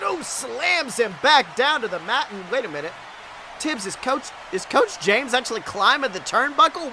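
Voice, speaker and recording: A man's voice: very high voice, noisy background. A man doing dramatic, exclamatory play-by-play wrestling commentary as a podcast host, with a breathless delivery.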